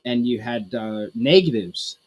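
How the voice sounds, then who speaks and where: Husky, hesitant man rambling on a podcast. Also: medium pitch, measured pace. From Canada. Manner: rambling, hesitant, many restarts and fillers. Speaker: podcast co-host. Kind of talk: casual group podcast chat.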